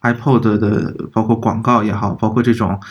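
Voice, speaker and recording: 略带沙哑的男声，随意聊天. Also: male voice, on the low side, flat delivery, moderate pace, breathy edge, dull audio. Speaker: audio podcast co-host. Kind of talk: casual reflective discussion.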